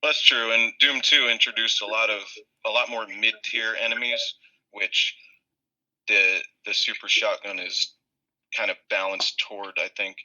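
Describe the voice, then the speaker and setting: A man's voice: fairly low pitch, fairly even intonation, unhurried, bright, forceful tone, muffled recording. A man from New York State, chatting as a podcast guest in a laid-back, swearing, casual way. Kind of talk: casual group podcast chat.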